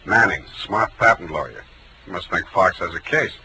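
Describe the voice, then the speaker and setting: Wry hard-boiled 1940s radio detective. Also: male voice, low-pitched, lively intonation, moderate pace, bright, forceful tone, slight background noise, full character voice, rough recording. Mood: probing, skeptical. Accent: American. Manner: dry, wisecracking. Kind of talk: 1940s radio acting with first-person narration. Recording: old 1940s radio recording, music behind.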